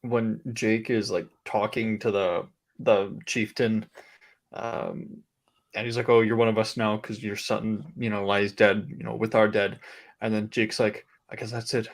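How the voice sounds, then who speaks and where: A man's voice: fairly low pitch, level tone, a little slow. A man co-hosting a podcast, casually discussing films in loose, hesitant conversational phrasing. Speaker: podcast co-host. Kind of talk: casual film discussion, chat.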